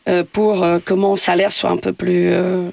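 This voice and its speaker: A woman's voice: on the low side, little pitch movement, measured pace, gravelly, a little mellow, no echo. A woman from Portugal, a building caretaker speaking as a radio interview guest, hesitant with many fillers and restarts.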